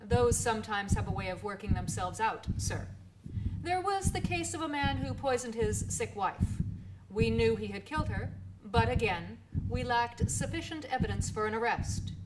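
A knowing police officer, played by a woman in a radio play, deliberate and insinuating. The voice is a little high, with clear noise on the recording. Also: animated, natural voice. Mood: knowing, pointed. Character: matter-of-fact, knowing police officer recounting cases. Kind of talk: radio drama acting.

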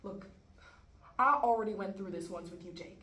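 A woman playing a guarded, exasperated sister in a stage scene, halting at times and sharp at others. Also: mid-range voice, natural voice. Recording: echoey room, faint background noise.

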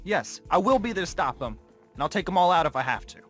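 A man's voice: sits high, very animated, fast talker, a bit bright, soft background music. A man acting a stubborn, hot-headed young man protecting his friend in an amateur game roleplay. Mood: defiant.

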